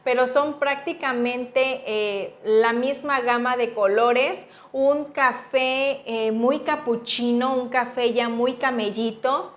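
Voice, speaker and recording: A woman's voice: sits high, fairly bright tone. A woman with a Mexican accent giving a casual, chatty makeup review as a beauty vlogger, informal and full of affectionate diminutives. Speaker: beauty vlogger, channel host.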